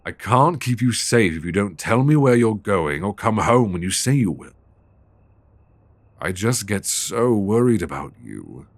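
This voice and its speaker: A man's voice: sits low, no room sound, heavily acted. A man with a British accent playing a stern, weary but devoted dominant boyfriend in an intimate, emotionally intense audio roleplay, close on a binaural mic. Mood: tender, worried. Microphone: close binaural microphone.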